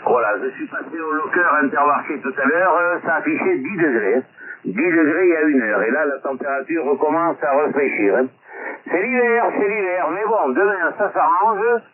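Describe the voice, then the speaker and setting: Highish man on crackly, narrow radio link. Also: lively intonation, normal speed, a crowd in the background. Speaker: amateur radio operator. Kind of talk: casual ham radio chat. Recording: tinny radio link with voices and clutter behind.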